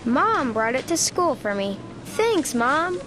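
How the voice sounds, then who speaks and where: High, childlike woman reading a storybook. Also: very animated, slow pace, crowd noise. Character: earnest, forgetful little boy critter making excuses. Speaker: storybook narrator. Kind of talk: expressive children's read-aloud in a young child character's voice.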